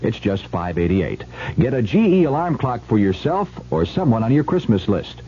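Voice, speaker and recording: A man's voice: lowish voice, sing-song, normal speed, warm tone, slight background noise. A man reading a scripted 1970s radio commercial as an announcer. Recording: muffled vintage radio recording.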